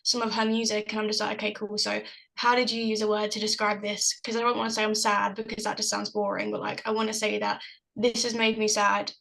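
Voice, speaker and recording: A woman's voice: mid-range voice, completely flat delivery, fairly quick, gravelly, a bit bright, low-quality recording. A young woman from South London with a South London accent, a singer-songwriter chatting casually on a video call, giggly and full of "like" fillers. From South London, England. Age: young adult. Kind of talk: casual interview chat.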